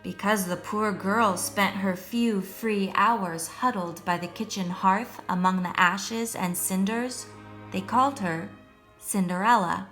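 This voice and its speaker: A woman reading a children's story aloud as a storyteller, in a measured, expressive way meant for young listeners. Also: medium pitch, low-quality recording. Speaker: storyteller, first-grade teacher. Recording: music behind the voice.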